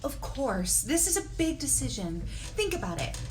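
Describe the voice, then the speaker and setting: Woman acting an excitable, high-pitched, swooping talent organizer. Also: normal speed, heavy background noise. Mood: pushy. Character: excitable, pushy comic radio talent show organizer. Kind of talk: script table read of a musical comedy.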